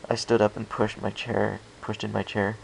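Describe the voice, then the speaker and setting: A man's voice: fairly low pitch, flat delivery, normal speed, gravelly, a little hiss or hum, low-quality recording. A man reading first-person storybook narration, now and then stumbling and rereading a phrase. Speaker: story reader.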